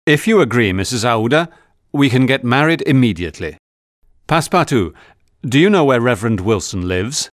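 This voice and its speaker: A man voicing a reserved, courteous English gentleman, grave and sincere, in audiobook dialogue. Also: mid-range voice, lively intonation, moderate pace, no echo, studio quality, natural voice. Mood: composed, pleased.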